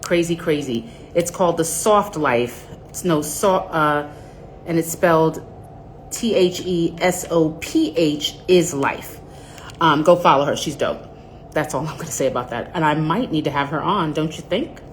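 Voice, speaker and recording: Nasal lady in her 40s chatting on a livestream, low voice, rambly, faint hum behind her. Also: measured pace, low-quality recording. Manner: chatty, greets viewers by name, rambling asides. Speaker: host of a live stream. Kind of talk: casual live-stream chat.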